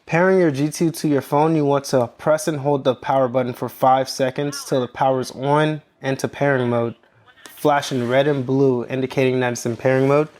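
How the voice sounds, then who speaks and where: Male tutorial presenter, medium pitch, explaining operating steps in order. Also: fairly even intonation, normal speed, a little mellow. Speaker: product demonstrator. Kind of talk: step-by-step instructional narration.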